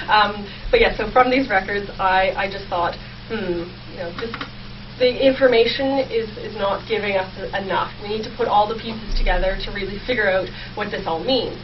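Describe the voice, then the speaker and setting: A woman's voice: medium pitch, normal speed. A young woman explaining her research conversationally and enthusiastically as an interview guest. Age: young adult. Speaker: guest researcher, history PhD student. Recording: distant, dull, clearly noisy sound.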